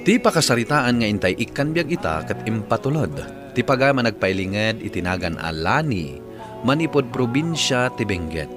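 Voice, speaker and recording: Expressive Filipino man narrating radio drama. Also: mid-range voice, normal speed. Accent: Filipino, Ilocano. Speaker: host of a radio letter drama programme. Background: background music.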